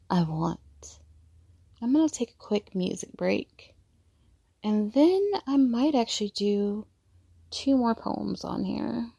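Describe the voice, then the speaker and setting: Woman poetry podcaster, lots of vocal fry, mellow and unhurried, dry close sound. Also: mid-range voice, dry recording. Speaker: podcast host and poet. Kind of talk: intimate spoken-word poetry reading and casual podcast chat.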